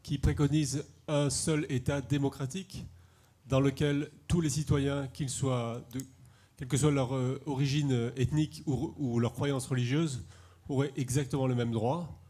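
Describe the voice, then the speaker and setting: A man in the audience asking a question, phrasing it carefully and pausing to search for words. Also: mid-range voice, steady tone, warm tone. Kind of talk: question from the floor.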